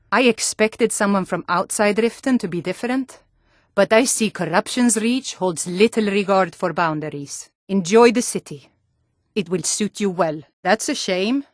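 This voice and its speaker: An actress playing stern, earnest Nord warrior women and town guards in a fantasy video game, speaking in a firm, measured way. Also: female voice, medium pitch, no room sound, studio quality, lightly acted. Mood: earnest, resolute. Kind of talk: video game character dialogue.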